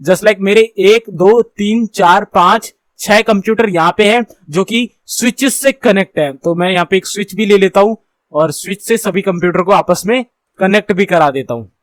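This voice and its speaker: A man's voice: high voice, normal speed, no echo. A man with an Indian accent giving an informal technical lesson, explaining things and mixing in English terms. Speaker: tutorial instructor.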